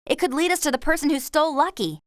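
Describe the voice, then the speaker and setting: A woman's voice: sits high, expressive, fast, dry recording, studio quality. A woman with an American accent playing an earnest, grateful young horse rider and loyal friend in a game, speaking expressively. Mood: encouraging. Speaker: voice actor. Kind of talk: scripted game character dialogue.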